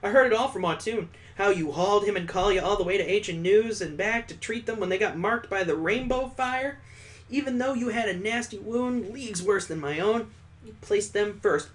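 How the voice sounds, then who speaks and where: A man's voice: sits high, moderate pace, slight background noise, voice pushed up, close to an everyday voice. A man voicing a jovial, sentimental old father-in-law, hearty and earnest, in a visual novel read aloud.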